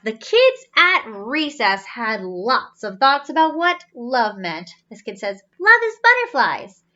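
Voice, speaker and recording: Animated, high-voiced woman reading to children. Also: slow pace. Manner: teacherly, explains pictures, asks questions. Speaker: children's storybook reader. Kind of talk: animated read-aloud storytelling with asides. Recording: slightly dull, lo-fi sound.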